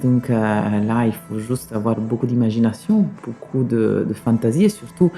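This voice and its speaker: A man's voice: medium pitch, normal speed, dark tone, faint music behind. A man from Sicily with an Italian accent, a native Italian speaker, answering interview questions in a hesitant way with many fillers and restarts. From Sicily, Italy. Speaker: pizzaiolo guest.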